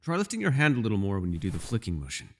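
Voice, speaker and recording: A man's voice: lowish voice, huge pitch swings, rapid-fire, darkish tone, slight background noise. A man playing a mysterious elf mage in a fantasy ASMR roleplay, a patient mentor who muses wryly in formal, old-fashioned phrasing. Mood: patient, instructing. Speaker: voice actor.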